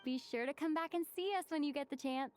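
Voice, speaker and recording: High-voiced, earnest young traveler, played by a woman. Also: quick pace, darkish tone, close to an everyday voice. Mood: heartfelt. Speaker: game character voice actor. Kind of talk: scripted emotional game dialogue. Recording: music behind the voice.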